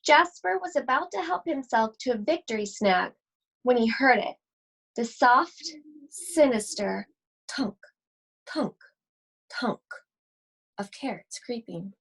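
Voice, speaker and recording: A woman reading a children's storybook aloud expressively, with deliberate, suspenseful phrasing and drawn-out words. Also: fairly high pitch, expressive, breathy edge, low-quality recording. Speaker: school library read-aloud host.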